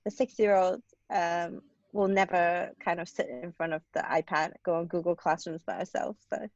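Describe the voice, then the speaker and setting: A woman's voice: on the low side, steady tone, moderate pace, no echo. A woman from Hong Kong speaks casually as a playwright guest in an interview. Her phrasing is informal and hesitant, and the recording is dry and slightly lo-fi.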